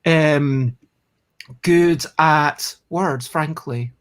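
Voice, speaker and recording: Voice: medium pitch, drawn-out words. Chatty, rambling board game show host. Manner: chatty and self-deprecating, rambling with restarts. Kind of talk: casual chat.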